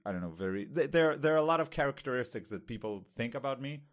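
A man with an Israeli accent, a Hebrew native speaker, talking candidly on a podcast, thinking aloud with many pauses and fillers. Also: mid-range voice, expressive. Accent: Israeli, lighter than most. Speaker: podcast co-host. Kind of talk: casual conversation.

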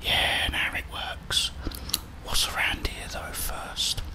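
A middle-aged British man giving whispered ASMR commentary on a video game, muttering his thoughts aloud. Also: slow. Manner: muttering to himself, thinking aloud. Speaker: gaming streamer.

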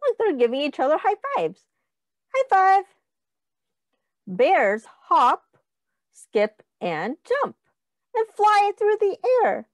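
A woman reads aloud to young children as their teacher. Her voice is high and swoops expressively, and she takes her time. She sounds encouraging and sing-song, pausing to count and ask simple questions. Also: huge pitch swings, no echo, clean studio sound. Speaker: teacher reading a picture book aloud.

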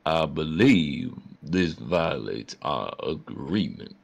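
A man voicing a huge old dragon in a comic dub, measured and dryly threatening. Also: extremely low pitch, very animated, creaky, voice pushed down, exaggerated voice. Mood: dry, calm menace. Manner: measured, dry, unhurried.

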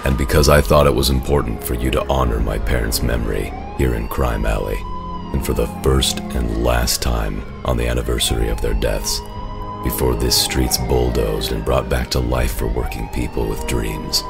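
A grim vigilante dad, super low gravelly growl, stern and brooding, music behind him. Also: male voice, fairly even intonation, moderate pace. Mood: solemn. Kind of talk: dramatic comic-book dub.

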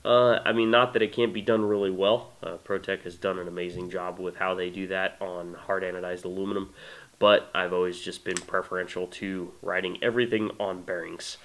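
A man's voice: low-pitched, brisk. A man in his thirties with a congested voice from a cold, casually and enthusiastically talking to camera about a knife he made, filled with "uh" and "you know", in a small indoor room. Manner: relaxed, enthusiastic, many fillers. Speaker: knife maker presenting his knife. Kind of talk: casual product talk to camera.

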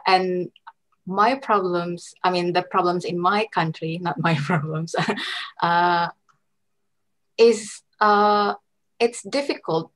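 A woman's voice: lowish voice, low-quality recording. A young woman from Indonesia, a graduate student, answering earnestly on a video call through a headset. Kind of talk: panel interview answers.

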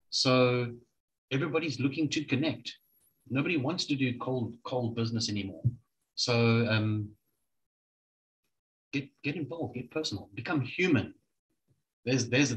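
A middle-aged man co-hosting a casual video-call chat, speaking emphatically and repeating his phrases. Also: medium pitch. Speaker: co-host. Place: small home room, video call. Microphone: shared desk microphone. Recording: noisy video-call audio.

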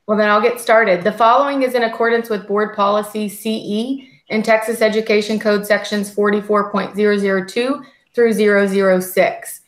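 A woman presenting a school district budget report on a video call in a steady, formal, scripted way. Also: medium pitch, moderate pace. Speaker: school district finance administrator. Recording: echoey video call audio.

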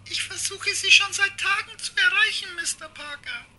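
A very high-pitched female publisher played by a woman in a crime radio play. She is polite, stern and businesslike. Clear noise sits behind her voice. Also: normal speed. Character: polite but stern female New York publisher, admiring fan. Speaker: publisher on a phone call. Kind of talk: amateur radio play dialogue.

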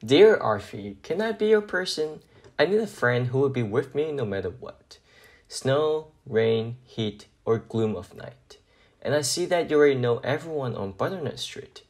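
A man voicing a friendly, devoted woman letter carrier in a children's picture book, gentle and sincere. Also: mid-range voice, animated, moderate pace, close to an everyday voice. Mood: hopeful, affectionate.

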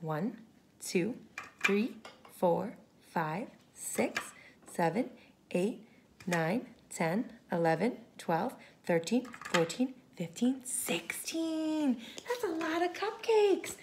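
Female storyteller for young children, slow and high, big expressive delivery. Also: background noise. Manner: expressive, exaggerated gasps and hushed asides. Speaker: teacher reading aloud to children. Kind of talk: animated children's picture-book read-aloud. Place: home kitchen.

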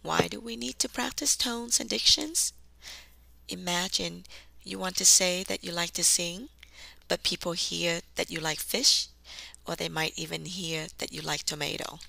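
A woman with a southern Vietnamese accent narrating a language lesson as the teacher, enunciating deliberately and carefully. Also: fairly low pitch, gravelly, pushed and bright, dry recording, poor audio.

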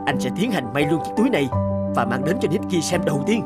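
A man's voice: fairly high pitch, big pitch swings, fast talker. A man voicing an earnest, devoted mountain climber in a cartoon, speaking emotively. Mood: proud, eager. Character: earnest adult male mountain climber, guilt-ridden and devoted friend. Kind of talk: dubbed cartoon acting. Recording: loud music over the voice.

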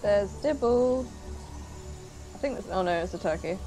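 A woman's voice: mid-range voice, expressive, normal speed, dark tone, soft background music, rough recording. A woman chatting casually as she plays a game with friends. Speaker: gamer playing alongside friends. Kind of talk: casual gaming chat.

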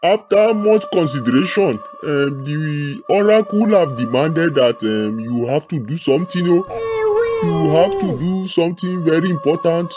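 High-voiced menacing Nigerian shrine priest played by a man. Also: lively intonation, unhurried. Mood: solemn, coaxing. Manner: commanding, insistent, ends phrases on drawn exclamations. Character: menacing, demanding male shrine priest of a money ritual. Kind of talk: melodramatic film acting. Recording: muffled radio-like band-limited sound under loud music.

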